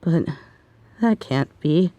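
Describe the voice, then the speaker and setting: A young adult woman performing a scripted horror narration, telling the story in a hushed, tense manner. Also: sits low, expressive, slow, muffled recording. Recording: very echoey room.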